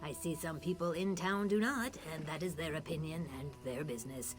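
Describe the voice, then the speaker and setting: Woman playing a low-pitched, mild townswoman. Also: a little fast, over music, lightly acted. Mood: politely defensive. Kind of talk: video game character acting.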